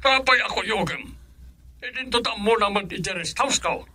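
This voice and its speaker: A stern father in an Ilocano radio drama. His voice sits high and is strikingly sharp and tense, with an insistent, commanding manner. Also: moderate pace, exaggerated voice. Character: stern, demanding father pressing to confront his son-in-law.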